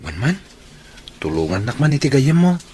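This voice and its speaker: A man's voice: medium pitch, sing-song, moderate pace, warm tone, slight background noise, lightly acted. A man playing an earnest young Filipino suitor in a radio drama. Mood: hopeful.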